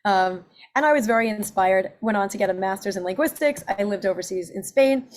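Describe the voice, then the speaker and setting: A woman in her forties, an accent and presentation coach, giving an upbeat webinar pitch of her services. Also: a little fast. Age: 40s. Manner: upbeat, self-promoting, listing services.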